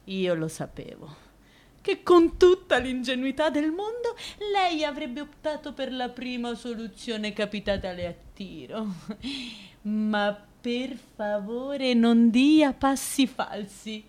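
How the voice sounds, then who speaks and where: A woman's voice: fairly high pitch, expressive, takes it slowly, darkish tone. An actress playing a condescending, domineering woman prosecutor in a radio play, mocking and insinuating in a theatrical manner. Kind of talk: theatrical radio drama acting.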